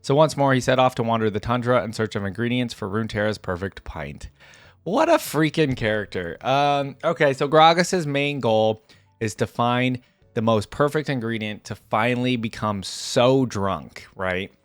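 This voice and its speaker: Male reaction host, mid-range pitch, informal and relaxed delivery for commentary and read-alouds, dry close-mic sound. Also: normal speed, no room sound.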